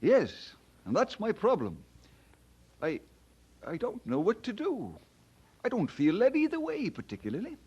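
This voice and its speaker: Young male minister: high husky voice, humble, earnest, expressive swings, radio drama. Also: measured pace, long pauses, dull audio, full character voice. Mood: troubled, respectful.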